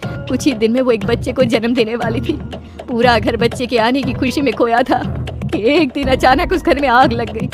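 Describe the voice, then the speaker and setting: A woman's voice: a little high, steady tone, moderate pace, music in the background, natural voice. A woman voicing a stern, protective mother-in-law in a cartoon story, talking in a firm, instructing way. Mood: grave. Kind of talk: cartoon voice acting.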